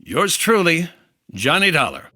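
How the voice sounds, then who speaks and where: A hard-boiled insurance investigator from a 1950s-style radio serial. His voice is bright and forceful with lively swings in pitch, and he speaks in a clipped, theatrical, wry way. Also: measured pace, heavily acted. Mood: brisk narration. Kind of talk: 1950s radio detective acting.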